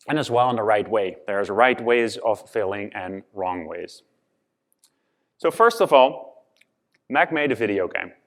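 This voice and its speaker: A man from the Netherlands with a Dutch accent, giving a conference presentation with many fillers and restarts. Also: medium pitch. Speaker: conference speaker.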